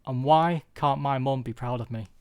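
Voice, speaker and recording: A young British man giving a prepared personal talk, earnest and confessional, with deliberate phrasing. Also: medium pitch, clear, resonant tone. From UK, born there.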